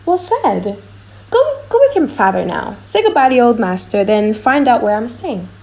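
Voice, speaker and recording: Woman acting a courteous gentleman master with creaky voice. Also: a little high, sing-song. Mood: gracious, commanding. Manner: polite, authoritative, measured. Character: courteous, measured young gentleman master, giving orders. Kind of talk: audiobook drama reading.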